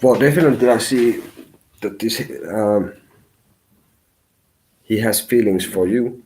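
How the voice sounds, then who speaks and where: A man from Finland whose first language is Finnish, giving a live tarot reading in halting English as he searches for words. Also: fairly low pitch, darkish tone, rough recording. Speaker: tarot reader.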